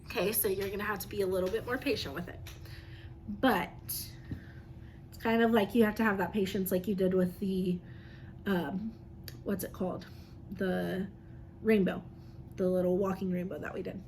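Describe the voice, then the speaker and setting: A woman's voice: mid-range voice, fairly even intonation, moderate pace, pauses often, a little mellow. A woman teacher reading aloud and explaining science to young children in simple, encouraging phrases. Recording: noticeable background noise.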